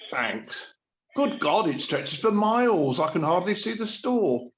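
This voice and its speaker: A man's voice: high-pitched, sing-song, a little slow, slight background noise. A man playing an awkward, sheepish shopper in a radio play, hesitant, stammering and evasive. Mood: exasperated. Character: awkward, sheepish panic-buying supermarket shopper. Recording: slightly dull lo-fi sound.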